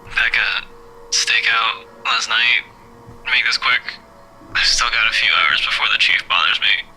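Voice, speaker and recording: A man reading a visual novel aloud, voicing a groggy young man just woken by a phone call, rough and curt. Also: mid-range voice, a little slow, pushed and bright, a little hiss or hum. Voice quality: rough, sleepy. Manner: curt, irritable, mumbling.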